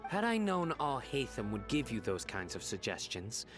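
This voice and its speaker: An actor playing a stern, cautious former law enforcer in a video game drama, speaking in a grave, formal, measured way. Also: male voice, mid-range voice, big pitch swings, natural voice. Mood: stern, firm. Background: music.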